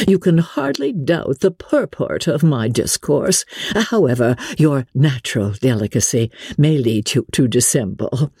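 A woman voicing a pompous, self-satisfied clergyman who speaks in a slow, formal, long-winded way, in a dramatic audiobook reading of a classic novel. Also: rumbling low, dark tone, slightly rough, dry recording, studio quality, heavily acted. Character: pompous, self-satisfied, solemn young clergyman proposing marriage.

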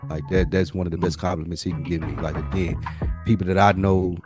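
A man's voice: deep voice, little pitch movement, fast, over music. A man hosting a casual podcast, talking in a slangy, appreciative, conversational way. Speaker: podcast host.

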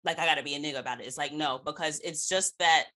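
A woman's voice: deep voice, fast talker, bright, forceful tone. A woman hosting a conversational podcast interview, thinking aloud as she shapes her points.

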